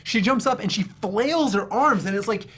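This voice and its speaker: A woman's voice: medium pitch, expressive, moderate pace, slightly rough. A woman co-hosting a casual film review, telling things in an emphatic, exasperated, dramatic way. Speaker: host of a film review show. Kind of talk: casual panel film discussion.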